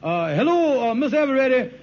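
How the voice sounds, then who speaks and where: High voiced conniving husband, blustery and wheedling, muffled noisy old radio comedy. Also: male voice, very animated, fast talker. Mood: flustered, wheedling. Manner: hesitant stalling, wheedling, ungrammatical. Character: scheming, broke, blustering married man, fast-talking lodge schemer. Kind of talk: old radio sitcom acting.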